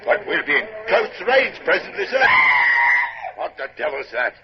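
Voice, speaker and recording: An actor playing a keen, loyal naval first officer in a 1950s radio drama, brisk and deferential. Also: male voice, on the high side, very animated, raised voice, crowd noise. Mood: alert, eager. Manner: brisk, deferential, bellows orders. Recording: dull, noisy 1950s radio recording.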